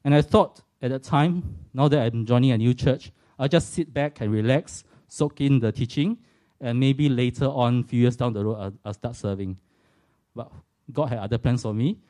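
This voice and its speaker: A man's voice: medium pitch, normal speed, mellow. A man in his forties from Singapore with a Singaporean accent, giving an earnest, reflective personal faith testimony to a church audience, with touches of self-deprecating humour.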